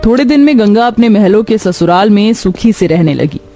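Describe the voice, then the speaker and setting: A woman's voice: medium pitch, animated, a little fast, mellow, soft background music. A woman narrating a scripted cartoon story.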